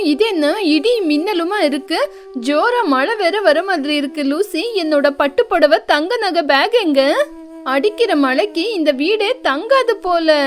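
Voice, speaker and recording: A woman voicing a haughty, melodramatic rich female bird relative in a children's moral story cartoon. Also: sits high. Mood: panicked wailing. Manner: melodramatic, exclamatory.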